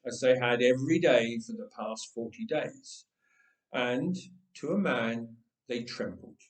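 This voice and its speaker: A man narrates a storybook in a roomy, echoing space. He takes his time and swings widely in pitch, keeping the telling dramatic and playful. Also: far from the mic. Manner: dramatic, playful, deliberate phrasing. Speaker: storyteller reading a story aloud. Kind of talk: expressive scripted storybook narration. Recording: roomy, echoing room sound.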